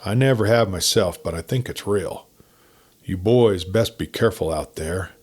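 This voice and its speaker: A man voicing a wary, secretive bait-shop woman in a story, giving warnings in a low, hushed, ominous manner. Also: moderate pace, husky.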